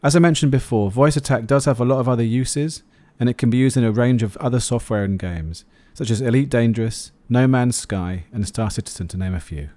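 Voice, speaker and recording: A man's voice: medium pitch, fairly quick, hardly any pauses, dark tone, dry recording. A man narrating a scripted product review as the host of a video.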